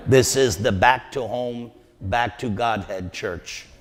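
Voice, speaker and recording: Slow emphatic New York preacher. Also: male voice, mid-range voice, slight background noise. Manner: emphatic, repeats key phrases, asks the audience questions. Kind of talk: devotional lecture to a congregation. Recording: long reverb of a hall.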